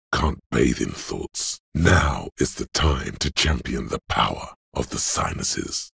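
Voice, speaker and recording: An actor voicing a gruff, domineering inner voice of brute strength in a video game, husky and rumbling, with scornful, deliberate drill-sergeant delivery. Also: male voice, no room sound, studio quality, heavily acted. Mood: gruff, intense. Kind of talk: video game character narration.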